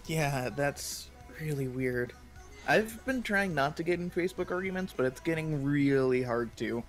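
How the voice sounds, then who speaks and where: A man's voice: fairly high pitch, lively intonation, a little slow, soft background music. An American man co-hosting a casual chat stream, talking in a conversational, hedging way. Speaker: stream co-host.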